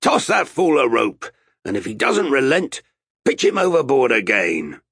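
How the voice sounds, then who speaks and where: A man's voice: on the high side, wildly swooping pitch, slow pace, creaky, fairly bright tone, husky, dry recording, studio quality, voice pushed up, heavily acted. A man voicing a bold, blustery, threatening sea captain in an audiobook, with an emphatic theatrical delivery. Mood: menacing. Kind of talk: audiobook character acting.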